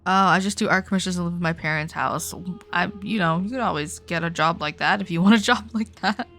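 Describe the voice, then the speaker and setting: A woman's voice: fairly low pitch, brisk, a bit bright. A young woman livestreaming a game, chatting casually and reacting in an informal, giggly, sweary way.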